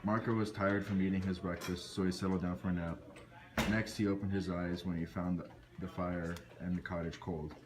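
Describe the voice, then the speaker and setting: A man's voice: low-pitched, level tone, fairly quick. A man reading scripted storybook narration for an amateur puppet show. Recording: noisy amateur recording with clicks.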